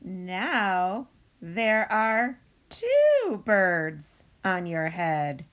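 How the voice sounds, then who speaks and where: Woman voicing an animated, deliberate storybook pig. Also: mid-range voice, full character voice. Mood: matter-of-fact. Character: calm, matter-of-fact female pig friend in a storybook. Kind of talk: expressive picture book read-aloud.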